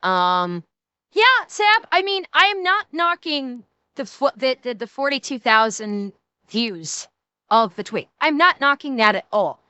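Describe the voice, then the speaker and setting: A woman's voice: high voice, big pitch swings, a little slow, pushed and bright, smooth, no room sound. A young woman streaming live, talking indignantly to her chat and swearing as she answers viewers.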